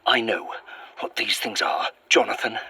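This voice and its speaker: A man's voice: lowish voice, normal speed, pushed and bright, breathy edge, exaggerated voice. A man playing a bitter, paranoid monster keeper in a horror audio drama, tense and accusatory, on a noisy outdoor recording. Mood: bitter, hushed. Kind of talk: found-footage horror audio drama acting.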